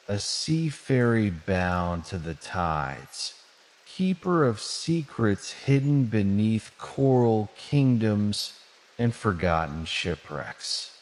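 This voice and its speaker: A man narrating a soothing bedtime story in a gentle, measured, dramatic storybook manner. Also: on the low side. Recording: long cavernous reverb.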